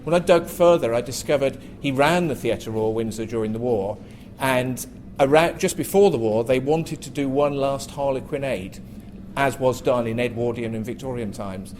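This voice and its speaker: A man's voice: medium pitch, normal speed, a little mellow, slight background noise. A man speaking as a panelist, telling anecdotes in a live panel talk at a theatre.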